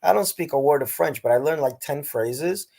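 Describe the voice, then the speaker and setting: A male host with a fairly high voice chats with animated emphasis, leaning hard on key words. He sounds friendly and eager to share tips. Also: moderate pace, creaky. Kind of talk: casual chat.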